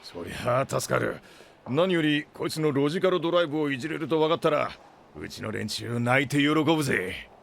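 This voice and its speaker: Blustery middle-aged space captain, gravelly, wide swings in pitch, rough slangy talk, audio drama. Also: male voice, lively intonation, a little fast. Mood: pleased, businesslike. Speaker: ship captain. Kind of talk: Japanese audio drama acting.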